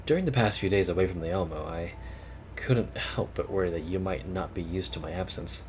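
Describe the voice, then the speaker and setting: A man voicing a polite, devoted young maid in a gentle, formal way, in a game story. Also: low voice, brisk, slight background noise, natural voice. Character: soft-spoken, devoted young woman maid, polite and deferential.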